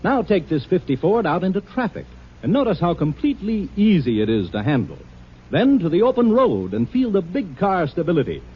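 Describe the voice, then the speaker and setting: A man reads a 1950s radio commercial with polished, emphatic salesmanship. His voice is husky and fairly high, swinging widely in pitch. The recording is dull old radio. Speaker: commercial announcer. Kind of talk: 1950s radio advertising read. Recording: muffled vintage radio recording.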